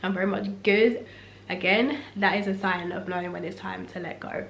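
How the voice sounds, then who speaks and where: A young woman with a husky voice from a cough, talking in a relaxed, reflective sit-down vlog at home. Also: mid-range voice, normal speed, a little hiss or hum. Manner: relaxed, reflective and faith-focused. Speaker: vlogger. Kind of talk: casual sit-down talk. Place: room at home. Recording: echoey room, faint ambience.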